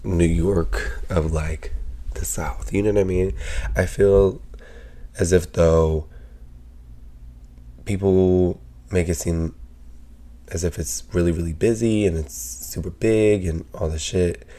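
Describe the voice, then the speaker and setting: A man hosting his own podcast, talking casually with a sick, raspy voice, rambling with lots of fillers and swearing. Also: low-pitched, steady tone, slow, pauses often, warm tone. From Pennsylvania. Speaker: podcast host. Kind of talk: casual solo podcast chat.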